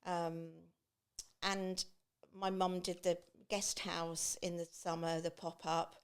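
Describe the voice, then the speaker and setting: A woman's voice: on the low side, flat delivery, takes it slowly, smooth. Chatty East Anglian woman telling stories. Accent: East Anglian English. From Great Yarmouth, England. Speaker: podcast guest, beach hut business owner. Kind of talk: chatty personal storytelling.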